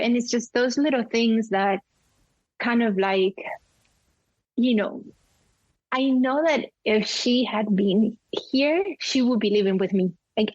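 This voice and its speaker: Colombian woman, 40s, Spanish native, interview guest, heartfelt, halting, dry muffled sound. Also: on the high side, darkish tone, dry recording. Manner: emotional, candid, many fillers and restarts. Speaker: guest, cinematographer. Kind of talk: casual interview conversation.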